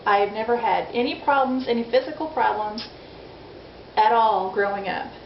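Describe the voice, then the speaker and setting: A woman's voice: fairly high pitch, normal speed, gravelly. A woman in her forties chatting candidly in a casual video diary, self-deprecating and frank. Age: 40s. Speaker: vlogger. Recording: dull lo-fi audio in an echoey room with faint noise.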